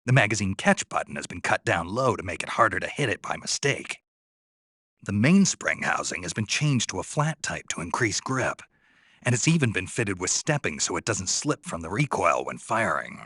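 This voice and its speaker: A gruff American covert soldier from a video game, very low and husky. He is terse and earnest, with a deadpan naivety, yet his pitch moves in lively swings. The sound is dry and close. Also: dry recording, heavily acted. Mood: focused admiration. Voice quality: gravelly, husky. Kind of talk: video game voice acting, radio dialogue.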